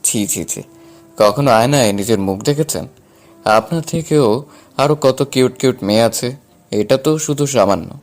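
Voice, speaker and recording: A man's voice: medium pitch, moderate pace, long pauses, darkish tone, lightly acted. A man playing a cheeky but caring young man, the younger son of the family, in an audio story. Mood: teasing. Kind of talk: Bengali audio story acting.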